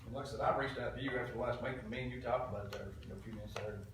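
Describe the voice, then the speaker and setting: A man's voice: medium pitch, steady tone, rapid-fire. A man with a Southern US accent speaking informally as a committee member, folksy and repeating himself. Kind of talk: informal meeting discussion. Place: indoor public meeting room. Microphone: distant room microphone. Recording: very echoey room, distant, clear noise.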